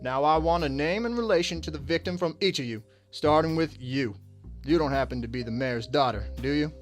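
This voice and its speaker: A man playing a composed, courteous detective taking charge in a radio drama, formal and measured. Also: a little high, soft background music, lightly acted. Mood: authoritative.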